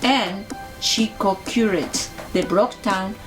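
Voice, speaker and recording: A woman's voice: medium pitch, slow pace, music in the background. A woman hosting a talk show, reading an introduction and asking simple, direct questions, sometimes stumbling over her words.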